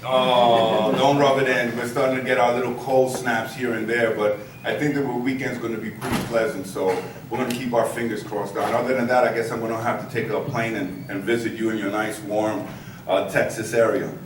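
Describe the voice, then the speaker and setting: A man's voice: mid-range voice, flat delivery, a little fast. Male moderator, informal and chatty, hesitant phrasing, distant mic in a heavily reverberant, noisy room. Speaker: event host, moderator. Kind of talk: informal live introduction and moderating. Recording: echoey room from far off, clear noise.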